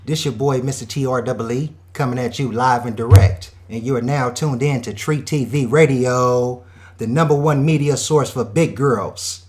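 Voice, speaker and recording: Male radio show host, high-energy slangy patter, mid-pitched voice, hypes up guests. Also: normal speed. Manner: energetic slangy hype talk with catchphrases.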